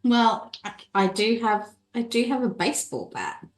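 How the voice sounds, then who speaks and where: A woman's voice: on the high side, moderate pace. A woman chatting as a player in a tabletop game, thinking aloud hesitantly. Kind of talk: casual game chat.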